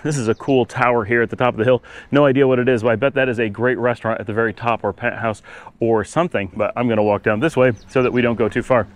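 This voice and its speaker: A man with a medium-pitched voice narrates a travel vlog as he walks outside. He talks casually and rambles, thinking aloud as he goes. The sound is dry, with no echo. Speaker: travel vlogger. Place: outdoors, walking on residential city streets.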